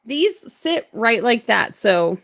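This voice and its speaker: A woman with a hoarse, worn voice, chatting casually and greeting viewers while crafting on a livestream. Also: fairly high pitch, big pitch swings, slow, fairly bright tone. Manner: chatty, upbeat, laughs often. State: hoarse, voice strained from hours of talking. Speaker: livestream crafter and shop owner.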